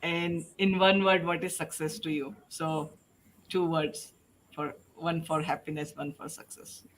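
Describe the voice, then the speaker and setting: A woman hosting a panel discussion as a podcast host, putting questions to guests in halting, hesitant phrases. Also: sits low, level tone, a little hiss or hum, low-quality recording.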